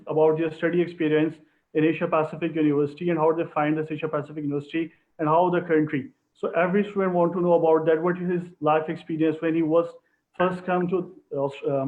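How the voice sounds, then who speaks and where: A man from Pakistan hosting a live online information session in formal, halting phrasing over a video call. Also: fairly high pitch. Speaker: host. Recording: tinny phone-like line.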